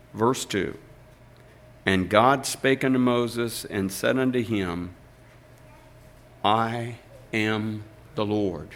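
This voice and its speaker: An older man, a pastor, reading scripture aloud and preaching a sermon in a slow, deliberate way with emphatic pauses. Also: mid-range voice.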